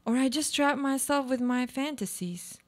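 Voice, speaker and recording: A woman acting a guarded, high-voiced monologuist. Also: moderate pace, lightly acted. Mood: musing, guarded. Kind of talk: live radio play monologue.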